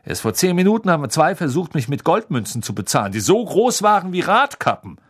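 A man's voice: on the high side, sing-song, a little fast, husky, voice pushed up. A man voicing a suspicious, plain-spoken campsite owner in a storybook. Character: suspicious, gruff ordinary male campsite owner.

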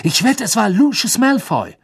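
A man voicing an excitable, blunt teenage boy in an audiobook, exclaiming and quick to scoff. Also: sits high, husky, no room sound, clean studio sound, voice pushed up, full character voice. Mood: excited.

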